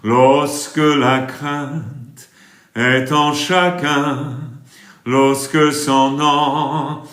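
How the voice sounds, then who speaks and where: British-accented preacher singing slow, echoey hymns. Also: male voice, medium pitch, level tone, fairly bright tone, soft background music. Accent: British English. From England. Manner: drawn-out sung hymns, brisk chatty asides to viewers. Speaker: preacher and worship leader. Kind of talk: livestream worship singing and chatting with viewers.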